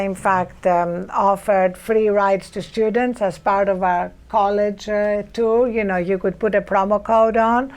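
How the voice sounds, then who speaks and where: A woman with a Greek accent talks on a public panel. She speaks at a middle pitch and halts often, filling pauses with ums and restarts. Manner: hesitant, many fillers and restarts. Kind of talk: panel discussion.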